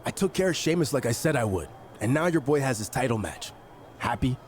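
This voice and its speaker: A man's voice: medium pitch, expressive, a little fast, loud music behind the voice, close to an everyday voice. A man playing a defiant, sarcastic wrestling champion who needles others in a video game scene. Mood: sarcastic, resentful. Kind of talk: scripted game dialogue.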